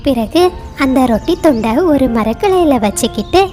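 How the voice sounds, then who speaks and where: Woman narrator, high voice, children's storybook style, faint music behind. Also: expressive, moderate pace, warm tone.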